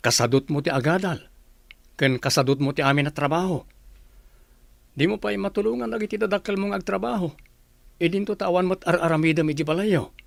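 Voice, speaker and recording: Man acting creaky, blunt young boyfriend. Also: a little high, no echo. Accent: Ilocano. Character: disillusioned young village boyfriend, blunt and regretful. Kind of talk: Ilocano comedy radio drama acting.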